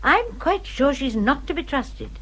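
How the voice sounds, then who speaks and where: Stately English gentlewoman, highly expressive. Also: unhurried, heavily acted. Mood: disapproving. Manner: stately, emphatic, confiding. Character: worldly, judgmental older gentlewoman, protective confidante. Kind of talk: period radio drama acting.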